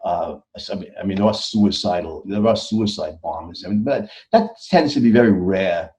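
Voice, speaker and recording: A man's voice: low-pitched, lively intonation, moderate pace, dark tone. An American man speaking as an interview guest, emphatic, often stammering and repeating words as he goes. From United States. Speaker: author guest on a podcast. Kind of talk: conversational interview. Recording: dull lo-fi audio.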